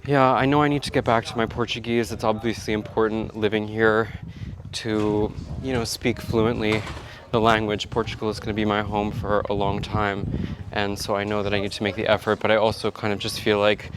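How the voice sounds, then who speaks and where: Low, flat-voiced chatty man. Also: moderate pace, noisy background. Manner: chatty, rambling, self-talking. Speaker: vlogger. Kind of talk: casual vlog chat.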